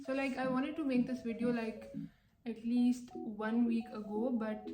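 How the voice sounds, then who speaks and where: A woman's voice: a little high, steady tone, unhurried, mellow, reverberant, faint music behind, poor audio. A young woman from Pakistan in her twenties, chatting casually to camera as a vlogger, with lots of "like" and "um". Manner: chatty, many fillers like "like" and "um".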